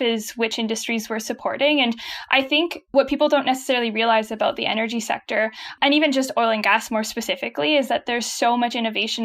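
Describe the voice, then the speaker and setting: Upbeat Canadian woman, higher voice. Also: fairly bright tone. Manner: upbeat, laughs often, many yeahs and restarts. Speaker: guest from a carbon tech company. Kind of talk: conversational interview.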